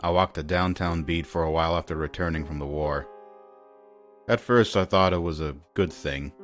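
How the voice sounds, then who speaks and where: A hardboiled detective haunted by war, with a very low voice. He speaks gravely, in the brooding way of a noir radio play, over a music bed. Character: brooding war-veteran police detective, male noir narrator. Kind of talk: noir audio drama narration and dialogue.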